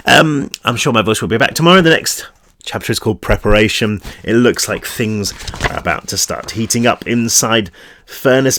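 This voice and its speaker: A man with a croaky, fading voice reading his own novel aloud in a steady storytelling manner, with chatty asides. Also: medium pitch, slight background noise. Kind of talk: audiobook-style read-through.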